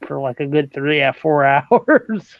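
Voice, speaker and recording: A man's voice: a little high, animated, measured pace, vocal fry, no echo. A man in his late twenties hosting a casual livestream chat, stumbling and repeating words and laughing often. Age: 20s. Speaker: livestream host. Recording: dull lo-fi, dry.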